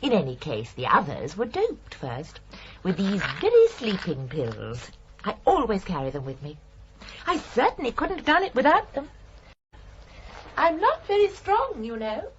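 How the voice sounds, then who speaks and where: An actress playing a genteel, chatty older British murderess in a radio drama, prim and sweetly matter-of-fact. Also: female voice, on the high side, huge pitch swings, measured pace, lo-fi sound, slight background noise. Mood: brightly matter-of-fact.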